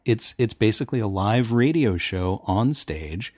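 A middle-aged man chatting casually on a panel, telling things in an enthusiastic, halting way. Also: on the low side, lively intonation, a little mellow, dry recording, studio quality.

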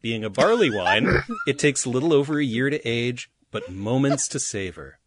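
A man's voice: medium pitch, sing-song, moderate pace, noisy background. A man hosting a podcast, reading out trivia items with a wry, teasing delivery in playful chat. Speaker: podcast host presenting trivia.

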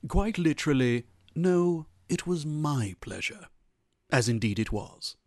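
An actor playing a courteous, well-travelled head butler of an English estate, formal and deferential, in a radio comedy-mystery. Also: male voice, a little high, lively intonation, a little slow, darkish tone, dry recording, clean studio sound. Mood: obliging.

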